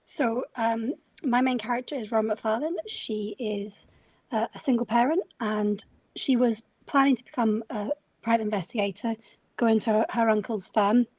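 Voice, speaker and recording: A woman's voice: on the high side, steady tone, normal speed, a little mellow, no echo. Modest woman, dry close sound. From Scotland. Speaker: crime writer guest. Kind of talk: panel discussion.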